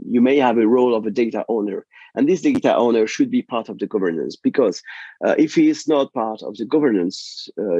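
Hoarse French man, halting speech. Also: lowish voice, warm tone, dry recording, highs cut off. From France. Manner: halting, many fillers and restarts. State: losing his voice. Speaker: guest. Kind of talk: technical interview.

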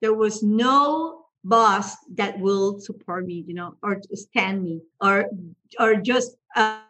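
A woman's voice: medium pitch, slow, pushed and bright. A woman in her thirties from Mexico, speaking English as a second language with a Mexican Spanish accent, candidly telling her life story as an interview guest with frequent restarts.

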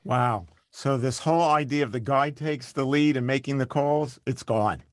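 A man's voice: medium pitch, moderate pace, no echo, clean studio sound. A man hosting an interview, asking long questions in a friendly, encouraging way. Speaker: podcast host.

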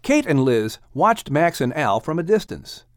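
A man narrating a children's audiobook in an expressive, scripted storytelling style. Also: on the high side, a little slow, no echo, clean studio sound.

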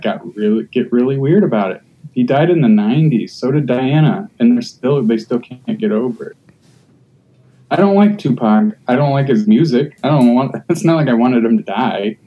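Man, stand-up comic, blunt riffing talk, dark tone, dull lo-fi recording. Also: mid-range voice, lively intonation, normal speed. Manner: blunt, riffing, acts out hecklers' voices. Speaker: stand-up comedian. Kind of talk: casual podcast chat.

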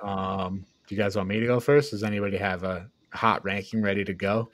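A man's voice: lowish voice, steady tone, brisk, no room sound. Man hosting a podcast, close dry sound. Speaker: podcast host. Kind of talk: casual film review chat.

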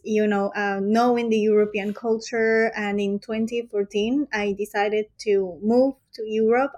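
A woman from Peru, a guest musician, talking casually in loose phrases and laughing lightly now and then. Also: medium pitch. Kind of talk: casual interview. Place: home in Greece.

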